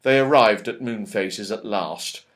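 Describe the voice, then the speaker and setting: A man reading a children's storybook aloud, expressive and lively, with voices for the characters. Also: fairly low pitch, a little slow. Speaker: audiobook reader.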